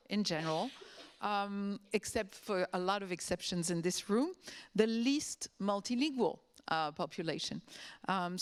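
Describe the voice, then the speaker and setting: A woman moderates a panel discussion at a mid-range pitch. She speaks briskly and hands the turns from speaker to speaker. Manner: brisk, handing over turns.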